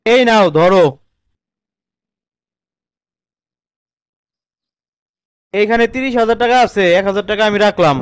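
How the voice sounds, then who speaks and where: Man playing a high-voiced, put-upon Bangladeshi husband. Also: fast, clean tone, no room sound, close to an everyday voice. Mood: pleading, resigned. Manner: protesting, complaining. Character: put-upon, exasperated young cartoon husband and son. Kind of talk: comedy cartoon dialogue.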